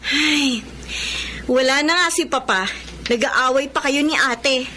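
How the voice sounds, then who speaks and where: A woman's voice: high-pitched, slow, pushed and bright, slight background noise, heavily acted. A Filipino woman playing an earnest, distressed young student daughter who keeps the peace in a family radio drama. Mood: worried. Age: young adult.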